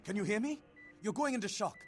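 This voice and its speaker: An actor playing a brusque, overworked physician in a video game, speaking officiously. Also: male voice, sits high, expressive, fast, faint music behind. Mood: urgent, stern. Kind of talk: video game dialogue acting.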